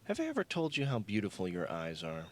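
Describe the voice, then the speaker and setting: A man voicing an unbothered, smoothly persuasive young man in an audiobook, casual and soothing. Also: lowish voice, wildly swooping pitch, fast. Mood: calm, soothing.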